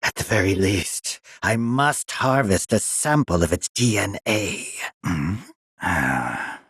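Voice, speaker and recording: An actor playing a pompous middle-aged mad scientist in a video game, sinister and gloating, drawling his lines with relish in a raspy voice. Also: sits low, lively intonation, slow, fairly bright tone, no echo, clean studio sound, heavily acted. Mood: smug, commanding. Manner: pompous, drawling, relishing menace with sly chuckles. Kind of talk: theatrical video game villain acting.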